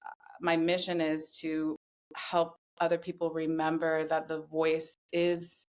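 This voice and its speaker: A woman in her thirties speaking as a podcast guest, telling her story in a reflective, earnest way with measured, deliberate phrasing. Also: sits low, monotone. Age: 30s. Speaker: podcast guest, somatic voice guide. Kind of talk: reflective personal interview talk.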